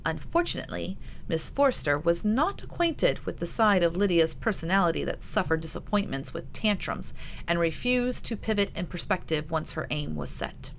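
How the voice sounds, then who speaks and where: A woman narrates prose fiction as the author of the book. Her voice is on the low side and follows a scripted storytelling style. Noticeable background noise sits under the reading. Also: very animated, normal speed, creaky.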